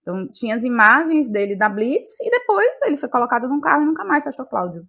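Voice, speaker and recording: A woman's voice: sits high, very animated, fast, gravelly, a bit bright. Bahian woman, reflective interview guest. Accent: Brazilian, Bahian. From Bahia, Brazil. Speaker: guest, playwright and actress. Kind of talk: conversational interview answers.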